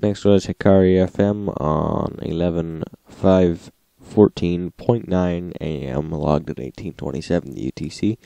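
A man's voice: sits low, unhurried, gravelly, hardly any pauses, mellow, no room sound. A man narrating a log in a matter-of-fact, list-like way, as the host of a recap. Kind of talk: scripted narration, reading logs.

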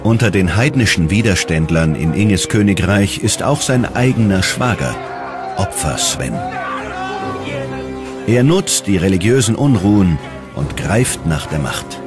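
Deep, dramatic male documentary narrator. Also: animated, unhurried. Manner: dramatic, emphatic. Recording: loud music behind the voice. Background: loud music.

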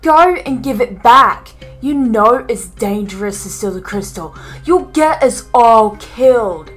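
A woman's voice: a little high, lively intonation, measured pace, soft background music. Woman acting a frantic, angry young man. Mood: mad, frantic. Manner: frantic, scolding. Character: mad, frantic young man scolding a thief. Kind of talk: dramatic voice acting demo.

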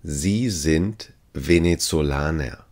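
A man's voice: very deep voice, takes it slowly, a little mellow. A man teaching language phrases, saying each one three times carefully and evenly. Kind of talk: slow repeated phrase drill.

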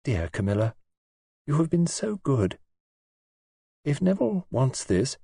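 A man reading an audiobook, voicing a gentle, reserved young woman who speaks softly and calmly. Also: a little high, a little slow, breathy edge, no room sound, voice pushed up, heavily acted. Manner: soft-spoken and calm. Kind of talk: audiobook character voice.

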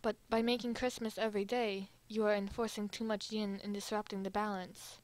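A woman voicing a polite, earnest male anime character with formal, measured speech in an amateur fan drama. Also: mid-range voice, little pitch movement, no echo, lightly acted, poor audio. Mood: earnest pleading. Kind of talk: amateur fan dub roleplay.

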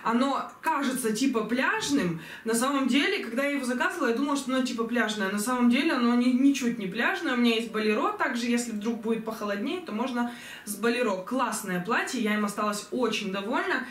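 A young woman reviewing clothes she ordered online, chatty and enthusiastic, slightly hoarse from a cold, filmed at home on a distant camera. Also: fairly high pitch, lively intonation, fast. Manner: chatty, enthusiastic, many fillers. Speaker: fashion vlogger. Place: bedroom at home, indoors. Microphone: camera mic from a distance. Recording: roomy echo, voice from far off.

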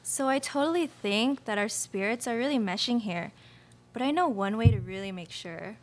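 A young woman acts an airy New Age hippie in a radio play. Her voice sits fairly high. She gushes breezily and cheerfully. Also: animated, moderate pace, natural voice. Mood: dreamy, cheerful. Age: young adult. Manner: breezy, gushing, like-peppered. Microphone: stage microphone on stand.

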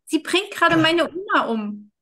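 A woman's voice: extremely high pitch, very animated, normal speed, bright, forceful tone, heavily acted. A woman playing an anxious, pleading teenage schoolgirl in a tabletop game, speaking urgently with hesitant repetitions.

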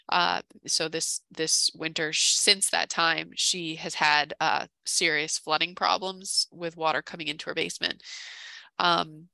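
Woman, low and forceful voice beginning to tire, careful halting explainer, staff briefing over a headset. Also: vocal fry, clean tone, no room sound, rough recording. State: voice starting to give out. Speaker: town conservation staffer presenting a case. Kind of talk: informal meeting presentation. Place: indoor room, on video call. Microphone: headset on video call.

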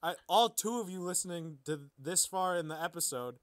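Animated man joking on a podcast. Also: sits high, a little fast. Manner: joking, crude, mock-dramatic. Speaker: podcast co-host. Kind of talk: casual comic commentary.

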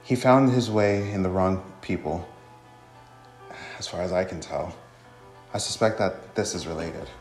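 A man plays a grave, brooding male protector from a war-torn game story. The voice is low and formal, with room echo around it. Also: measured pace, long pauses, darkish tone, faint music behind. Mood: somber.

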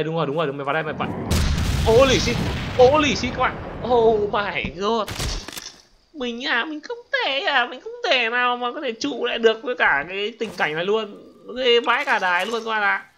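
A man giving excited, casual gameplay commentary as a gaming host, exclamatory and slangy, often repeating his words. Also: high-pitched, slight background noise.

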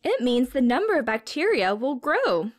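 A woman's voice: high voice, big pitch swings, moderate pace. A woman reading a storybook aloud to young children as a teacher, expressively, with simple questions and explanations.

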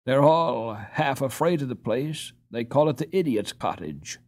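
A man's voice: medium pitch, animated, unhurried, darkish tone, voice pushed up, close to an everyday voice. A man voicing a poor, humble boy in a storybook, speaking politely and earnestly. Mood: pleading, sad.

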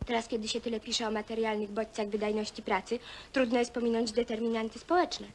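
An earnest, pedantic intellectual woman played by an actress in an old film drama. Her voice is high and she lectures in bookish phrases, with clear noise on the soundtrack. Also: little pitch movement, a little fast, close to an everyday voice. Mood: earnest lecturing. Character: earnest, pedantic educated woman spouting sociological jargon. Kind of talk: naturalistic film acting. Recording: noisy 1970 film soundtrack.

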